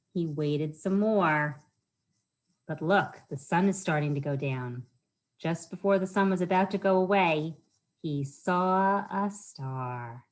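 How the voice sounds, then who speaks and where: A woman hosting a storytime for children, reading aloud and chatting in simple words, often putting questions to her young listeners. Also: on the low side. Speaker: library storytime host for children.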